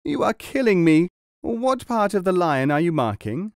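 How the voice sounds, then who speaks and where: A whiny man flinching at every needle prick, voiced by a male storyteller, high and loud in his complaints. He moans through each plea in a dry, close recording. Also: very animated, moderate pace, dry recording. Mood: whining. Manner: moaning, complaining. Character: whining, pain-averse man getting a tattoo.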